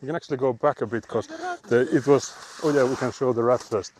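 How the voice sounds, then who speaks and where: A man's voice: medium pitch, steady tone, quick pace, a little mellow, slight background noise. A man with a Finnish accent, chatting casually and laughing often while live-streaming on a frozen lake. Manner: chatty, laughs often, reads chat aloud.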